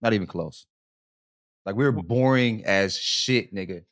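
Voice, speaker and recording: A man's voice: fairly low pitch, unhurried, a bit bright. A man co-hosting a casual comedy podcast, talking in profane slang and repeating phrases for emphasis. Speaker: podcast co-host.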